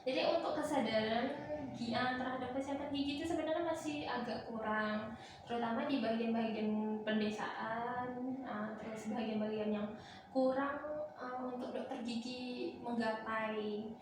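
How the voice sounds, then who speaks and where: A young woman from Malaysia speaks as an interview guest in a high-pitched voice, explaining things informally. She sounds distant from the microphone in a very reverberant room. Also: fairly even intonation, normal speed, over music. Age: young adult. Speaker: dental student guest giving advice. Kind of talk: casual interview, explaining dental health. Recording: echoey room, distant mic, clear background noise.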